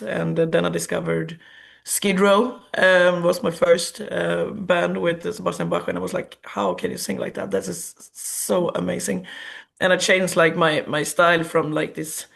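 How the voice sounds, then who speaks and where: A Swedish woman, a singer with a naturally low, raspy alto voice, chatting in an interview with a Swedish accent. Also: fairly even intonation, unhurried. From Sweden. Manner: chatty, trailing fillers. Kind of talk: casual interview chat.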